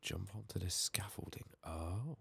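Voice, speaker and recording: Very deep, hushed ASMR man. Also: vocal fry, breathy edge. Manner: hushed, unhurried muttering to himself. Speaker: video game streamer, host. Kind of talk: soft-spoken ASMR game playthrough commentary, partly whispered. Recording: faint noise, keyboard typing and mouse clicks. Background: keyboard and mouse clicking.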